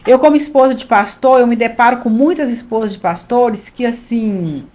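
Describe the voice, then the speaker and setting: A woman in her early fifties, from Minas Gerais, speaks as a guest on a church podcast. Her voice sits a little high. She talks with earnest emphasis, circling back to repeat her key phrases. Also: normal speed, a little mellow. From Minas Gerais, Brazil. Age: 50s. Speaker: pastor's wife, podcast guest. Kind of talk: conversational testimony.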